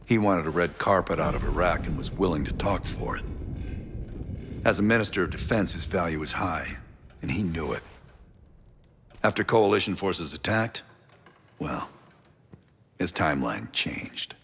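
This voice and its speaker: An actor playing a weary, guarded intelligence operative in a military game, with a gravelly, husky voice. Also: male voice, deep voice, lively intonation, normal speed, pauses often, a bit bright, in a large hall, heavily acted. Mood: grim, guarded. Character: weary, guarded male CIA operative on the run. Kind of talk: cinematic military game acting.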